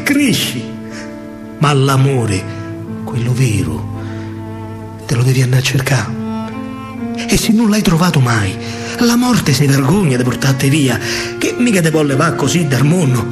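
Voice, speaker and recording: A male solo stage actor with a Roman accent, telling a story as a dramatic, lyrical verse monologue in dialect. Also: medium pitch. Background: live musical accompaniment.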